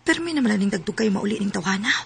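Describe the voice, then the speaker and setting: A woman's voice: mid-range voice, lively intonation, very fast, vocal fry. An actress playing a hurt young woman with a jealous streak in a radio drama. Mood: suspicious. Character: wounded young woman, guarded, fiercely jealous when betrayed. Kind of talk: radio drama acting and first-person narration.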